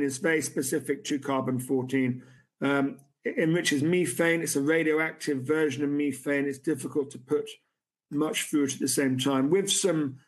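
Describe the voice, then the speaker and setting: Halting CEO fielding investor questions. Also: male voice, fairly high pitch. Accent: non-American. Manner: halting, many restarts and fillers. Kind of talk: conference Q&A. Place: room with a city view at night.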